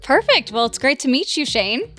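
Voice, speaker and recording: A woman's voice: high voice, big pitch swings, moderate pace, pushed and bright. A woman hosting a dating podcast, upbeat and playful, with faint music behind. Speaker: host.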